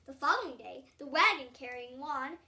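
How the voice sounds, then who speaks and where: A young child with a high, bright voice reads a story aloud. The delivery is expressive, leaning on certain words and drawing them out. The voice sounds a little way off from the microphone. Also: moderate pace. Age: child, about 8. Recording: distant from the microphone.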